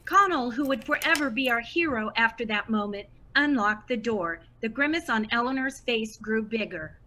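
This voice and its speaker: Woman playing a high-pitched giddy superfan. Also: animated, normal speed, sharp tone, a little hiss or hum. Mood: eager. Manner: gushing, squealing. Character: giddy, starstruck female superfan narrating her adventure. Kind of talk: scripted audio drama narration and dialogue.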